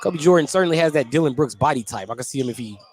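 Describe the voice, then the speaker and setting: A man's voice: medium pitch, lively intonation, rapid-fire, slight background noise. A man calling a live basketball game on the radio as the play-by-play announcer. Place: at courtside or press position in a gym during a game.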